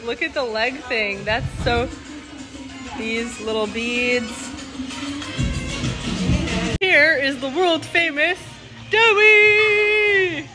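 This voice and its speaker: A young woman chatting casually to camera as a vlogger, excitable and playful with her exclamations. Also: high-pitched, takes it slowly, over music, poor audio. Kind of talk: casual vlog chat to camera.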